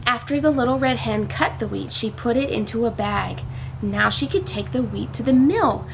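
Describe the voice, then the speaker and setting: Woman, high voice, slight creak, children's read-aloud style, teacherly, simple questions to young listeners. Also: expressive, normal speed, background noise. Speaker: farmer reading a story to young children. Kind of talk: children's storybook read-aloud and farm show-and-tell.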